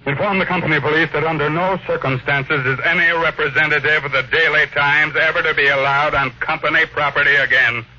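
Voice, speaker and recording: An actor playing a domineering, impatient millionaire industrialist with a brusque, commanding manner in an old-time radio drama. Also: male voice, a little high, fairly even intonation, brisk, few pauses, sharp tone, highs cut off. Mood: angry. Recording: noisy, band-limited old radio recording.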